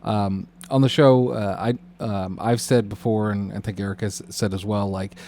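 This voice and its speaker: Male podcast interviewer, slightly low voice, casual, halting and roundabout in delivery. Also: steady tone, dark tone, a little hiss or hum. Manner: halting, rambling, roundabout questions.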